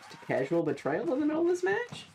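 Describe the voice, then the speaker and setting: A man streaming casual live reaction commentary, talking in an excitable, slangy and profane way. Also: very high voice, fast talker, noisy background.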